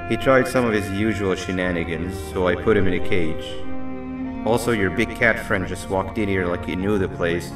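An actor playing a weary, sardonic yet loyal devil monster in a video game, wry and conversational. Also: male voice, sits low, moderate pace, close to an everyday voice. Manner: wry, conversational, exclamatory when pressed. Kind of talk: video game character acting. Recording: echoey, distant, music behind.

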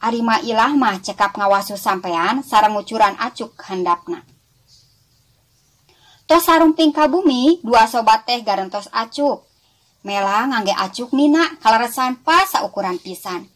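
A woman's voice: a little high, lively intonation, unhurried. A woman with a West Java Sundanese accent narrating a scripted children's story expressively, as a storyteller addressing young listeners. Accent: Sundanese-speaking West Java.

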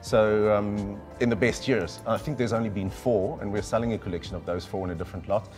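A middle-aged man with a lower-pitched voice explains things with eager enthusiasm. He talks in a relaxed, conversational style. Faint music plays behind him. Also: brisk. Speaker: wine specialist. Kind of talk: conversational presentation. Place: decorated indoor room with a laid table, standing.

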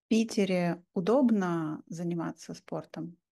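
A woman in her thirties hosting a slow, conversational interview for language learners on a video call. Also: on the low side, gravelly, warm tone. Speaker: host, interviewer. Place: indoor room at home, video call. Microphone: desk microphone, video call.

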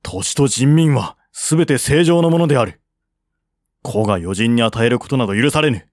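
A man's voice: medium pitch, expressive, moderate pace, no echo, studio quality, natural voice. A man voicing a curt, imperious prince who commands with authority in an audiobook. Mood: stern. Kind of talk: audiobook character reading.

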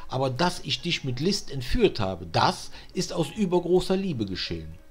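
An ardent, proud young king from a fairy tale, voiced by a man reading aloud. He has a mid-range voice with animated pitch and a light husk. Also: normal speed, slightly rough, faint music behind. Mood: ardent, confessing.

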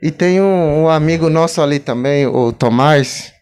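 A man's voice: fairly high pitch, normal speed, a little mellow, clean tone. Young man speaking casually outdoors. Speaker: community guest speaker. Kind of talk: casual address to a crowd. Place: outdoor park among trees. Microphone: handheld mic.

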